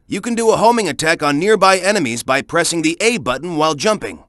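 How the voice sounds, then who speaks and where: A man's voice: fairly high pitch, a bit bright, no room sound, studio quality. A man voicing a tough, cocky young male echidna fighter in a video game, with a punchy, emphatic, coaching delivery. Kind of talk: video game character voice lines.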